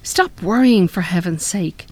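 A woman's voice: fairly low pitch, lively intonation, unhurried. An actress playing a forthright, defiant married woman in a radio drama. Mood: firm reassurance. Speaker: radio play actor. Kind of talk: live radio drama acting.

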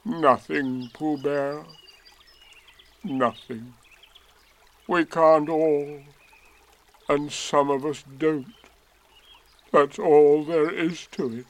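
A man's voice: a little high, steady tone, pitched above the performer's own voice. A man voicing a gloomy, self-pitying old grey donkey from a children's storybook, drawing out words with mournful sarcasm. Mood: glum, doubting. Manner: slow, drawn-out, mournful and sarcastic delivery.

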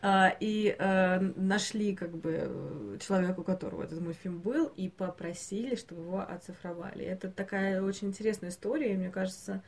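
A woman co-hosting a podcast, talking casually about animated films in a hesitant, thinking-aloud way, given to chuckling. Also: mid-range voice. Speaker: podcast co-host.

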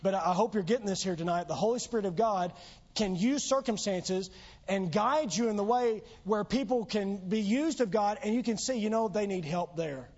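Hoarse high-voiced man preaching. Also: normal speed, a little mellow. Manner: earnest preaching, rising emphatic exhortations. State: losing his voice. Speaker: pastor. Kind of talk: sermon. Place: church sanctuary. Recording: dull lo-fi church recording.

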